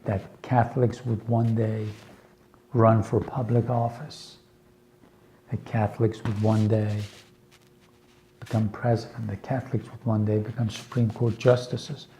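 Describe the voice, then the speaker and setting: Slow-speaking man, dark low voice. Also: level tone, low-quality recording. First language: Farsi. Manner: slow, earnest, hopeful, with many pauses and fillers. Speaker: teacher. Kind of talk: slow lecture for English learners.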